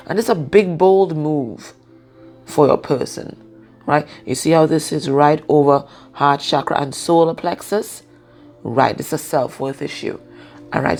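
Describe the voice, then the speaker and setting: A woman speaks in a husky, low-pitched voice with plenty of gravelly fry. She talks casually and without a script, as a card reader does, pausing and checking in with the listener as she goes. Faint music plays behind her. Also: a little mellow. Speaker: tarot and oracle card reader. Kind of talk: casual spoken card reading.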